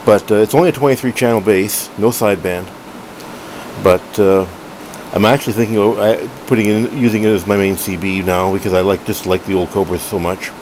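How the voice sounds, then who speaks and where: A middle-aged man with a fairly low voice gives a casual hobby demo. He talks in a halting, rambling way, often correcting himself. A clear background hum runs under his voice in a room at home. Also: steady tone. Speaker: hobbyist collector reviewing a radio. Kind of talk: casual unscripted product demo.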